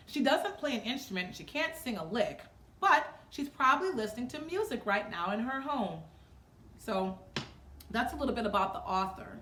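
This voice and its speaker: A woman hosting a children's storytime, reading aloud in an expressive, sing-song way and speaking to her listeners as friends. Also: on the high side, a little hiss or hum. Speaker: storytime host reading a picture book aloud. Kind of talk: children's read-aloud with rhythmic sound effects and chatty asides.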